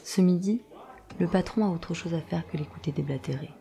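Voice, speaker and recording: A woman's voice: on the low side, fairly quick, vocal fry, dark tone. A woman reading scripted narration for an audio drama.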